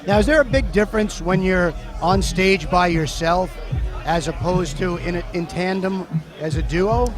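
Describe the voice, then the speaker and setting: A man hosting a radio interview backstage at a music event, asking brisk, upbeat questions. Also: high-pitched. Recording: loud music in background. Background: music playing.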